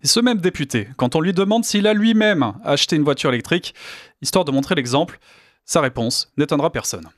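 A man's voice: fairly high pitch, animated, a little fast, dry recording. A man reading scripted political commentary in a sardonic, indignant manner. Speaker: commentator presenting news clips.

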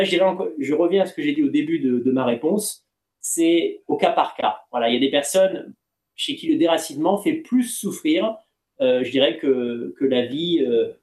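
A man's voice: medium pitch, a little mellow. A man from France, speaking candidly and earnestly in a discussion, often hesitating and rephrasing. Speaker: panel guest. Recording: roomy, echoing sound.

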